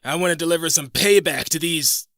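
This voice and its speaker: Guy doing a chill wisecracking teen hero boy, bright and dry, audio drama. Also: fairly high pitch, fairly quick, no room sound, close to an everyday voice. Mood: eager, cocky. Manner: casual, wisecracking. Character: laid-back, cocky teenage boy hero. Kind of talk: fan audio drama acting.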